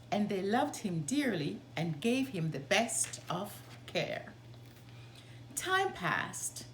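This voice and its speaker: High, animated woman reading a children's story. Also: slight background noise, poor audio. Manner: expressive, deliberate storybook delivery. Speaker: storytime channel host reading aloud. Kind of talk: read-aloud children's storytelling.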